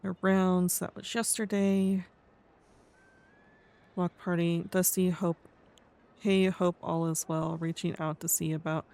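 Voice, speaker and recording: Tongue-tied streamer with a speech impediment, mid-pitched. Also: a little mellow. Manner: tongue-tied, mumbly, stumbles over words. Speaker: streamer playing a roleplay character. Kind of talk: casual game livestream commentary, reading aloud.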